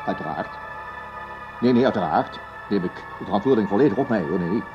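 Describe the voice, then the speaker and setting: An actor playing a flustered, fawning broadcasting boss in a radio play, stammering and turning snappish with orders. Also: male voice, lowish voice, animated, fast talker, muffled recording, music in the background, natural voice. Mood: fawning, apologetic. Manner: stammering and obsequious, snapping when giving orders. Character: flustered, fawning male broadcasting boss who barks at staff.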